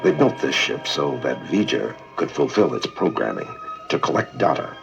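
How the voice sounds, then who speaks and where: A man playing a grave, logical alien science officer with solemn, deliberate delivery on a read-along record. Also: a bass voice, huge pitch swings, gravelly, exaggerated voice. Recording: dull vintage read-along record with music.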